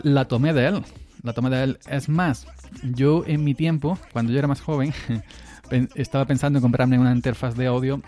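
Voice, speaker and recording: A man's voice: mid-range voice, darkish tone. Rambling chatty man in his forties. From Granada, Andalusia, Spain. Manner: rambling, repeats words, chuckles often. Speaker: podcast host on a live stream. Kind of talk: casual live-stream chat. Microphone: desk mic through an audio interface. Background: faint background music.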